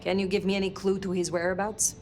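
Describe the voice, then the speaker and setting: An actress voicing a composed, formal elder female vampire investigator in a video game, measured and reserved. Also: medium pitch, flat delivery, darkish tone, soft background music, lightly acted.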